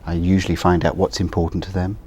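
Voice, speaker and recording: A middle-aged man, answering interview questions for a course recording in a measured, thoughtful way. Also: low voice, level tone, darkish tone, husky. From England. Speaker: interviewee, business owner.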